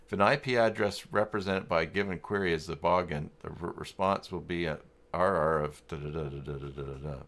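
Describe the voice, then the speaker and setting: A man's voice: low-pitched, fairly quick, fairly bright tone. A man hosting a casual livestream, thinking aloud in a rambling, muttering way. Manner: rambling, muttering through thoughts. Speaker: livestream host.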